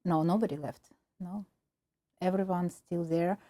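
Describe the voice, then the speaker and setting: Low, mellow Ukrainian-accented woman. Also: fairly even intonation. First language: Russian. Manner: halting, many fillers and restarts. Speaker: film producer and guest. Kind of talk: interview answers.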